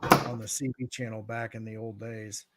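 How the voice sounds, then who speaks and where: A middle-aged American man giving informal explanations in a halting way, as if thinking aloud. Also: fairly low pitch, little pitch movement, crowd noise. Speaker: guest radio expert.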